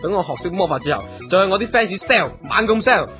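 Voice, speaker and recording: An actor with a Hong Kong Cantonese accent playing a naive, boastful magic student in a comic radio drama. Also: male voice, high voice, lively intonation, fast talker, music in the background. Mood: eager, boastful. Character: naive boastful male magic student who brags of fans.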